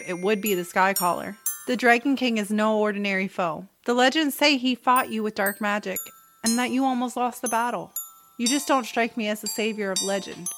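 A woman's voice: a little high, fairly bright tone, close to an everyday voice. A woman playing a solemn, wary fantasy heroine in an audio drama, speaking in an earnest, formal manner.

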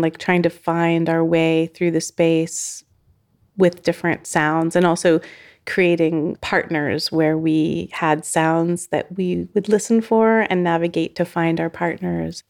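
A woman sound artist speaks in a low register with a gravelly fry running through her words. She talks reflectively and loosely, searching for her phrasing as she goes. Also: a little mellow. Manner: thoughtful, loosely phrased with frequent "like". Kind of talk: reflective interview talk.

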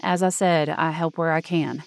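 A man's voice: on the high side, fairly even intonation, moderate pace, creaky, no echo. A man acting a solemn, cryptic guardian. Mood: solemn, reassuring. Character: cryptic, calm guardian of a supernatural veil. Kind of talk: audio drama dialogue.

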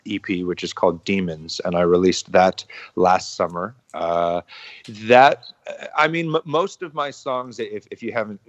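Low-pitched man, halting and candid. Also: no room sound. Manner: halting, repeats words, chuckles, swears freely. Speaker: podcast guest, musician. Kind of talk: casual interview talk. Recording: muffled lo-fi sound.

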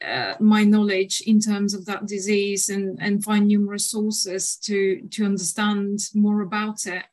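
A woman in her thirties from Bulgaria, a nutritional therapist answering interview questions in a measured way with frequent fillers. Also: mid-range voice, level tone, darkish tone.

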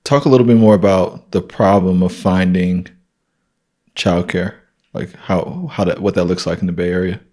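A man with a low voice hosts a relaxed conversation. He asks his guest easy, rambling questions, leaning on fillers as he goes. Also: moderate pace. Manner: loose, friendly questioning with fillers. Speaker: podcast host. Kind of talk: casual interview talk.

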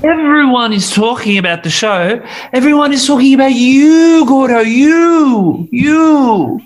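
A man's voice: very high-pitched, measured pace. An actor playing a fawning, scatterbrained male theatre director who gushes over his cast. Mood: gushing. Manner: gushing, flattering, easily distracted.

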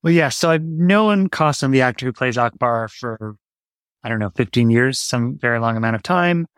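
A man speaks as a guest in an interview, thinking his answers through and laying them out step by step. His pitch sits in the middle for a man, and the sound is dry and close, with little room around it. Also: animated, normal speed, fairly bright tone, clean tone, no room sound. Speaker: interview guest, audio drama writer.

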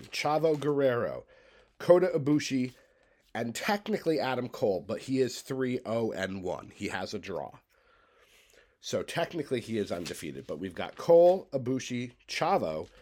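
A man's voice: medium pitch, darkish tone. A man in his thirties, congested and coughing, rambling loosely through a solo podcast from his bedroom. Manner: loose, stream-of-consciousness rambling. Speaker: podcast host. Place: home bedroom.

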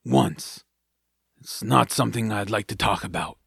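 Gruff, folksy male sidekick played by a man, raspy and creaky, a wary storyteller for a fantasy audio drama. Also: on the low side, unhurried, fairly bright tone, no echo, clean studio sound, exaggerated voice. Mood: subdued. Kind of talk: family audio drama acting.

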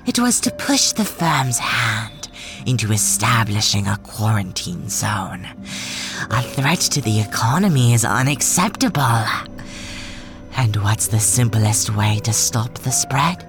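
A woman's voice: a bass voice, sing-song, music in the background, heavily acted. A woman voicing a cold, contemptuous male doctor villain in an audiobook who slips into whispers. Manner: contemptuous, slow, drops to a whisper. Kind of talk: audiobook voice acting.

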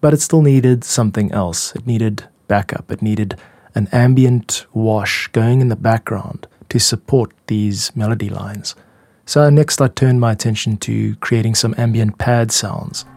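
A man hosting a podcast, explaining his own music in a measured, reflective way. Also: lowish voice, darkish tone, no room sound. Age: adult. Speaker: podcast host and music producer.

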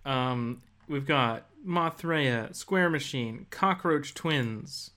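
A man's voice: medium pitch, drawn-out words, sharp tone. A man co-hosting a podcast, talking casually about video games. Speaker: podcast co-host.

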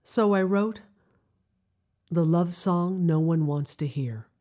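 A middle-aged woman telling a scripted personal story in a confiding, wry manner with deliberate pauses. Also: lowish voice, mellow. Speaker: writer and narrator of a personal audio drama.

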